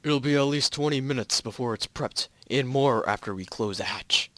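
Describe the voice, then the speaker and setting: A man's voice: medium pitch, normal speed, pushed and bright, lightly acted. Man acting a tense, clipped astronaut. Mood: concerned, urgent. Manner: clipped, hesitant delivery. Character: tense, cautious male American astronaut on the moon. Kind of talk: amateur group fan fiction reading.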